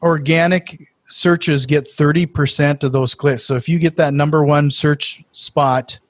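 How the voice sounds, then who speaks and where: Upbeat podcast host with a slightly higher voice. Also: male voice, steady tone, unhurried, dry recording. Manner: friendly, stumbling restarts and "uh", enthusiastic reactions. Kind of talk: casual podcast interview.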